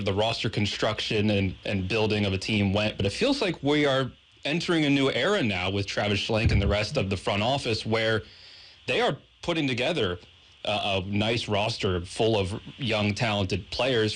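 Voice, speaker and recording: An American man asking measured, conversational interview questions as a sports radio co-host. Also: lowish voice, fairly bright tone.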